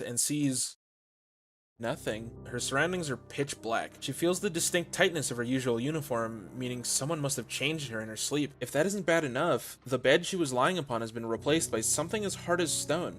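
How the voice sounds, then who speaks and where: A man narrating a scripted story recap as a video essayist, articulate, with dramatic storytelling phrasing. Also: medium pitch. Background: soft music.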